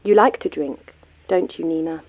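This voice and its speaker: A woman's voice: sits low, moderate pace. An actress playing an eerie automated female caller who speaks politely and formally over a phone line. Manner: polite, flat, oddly formal. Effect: phone line filter.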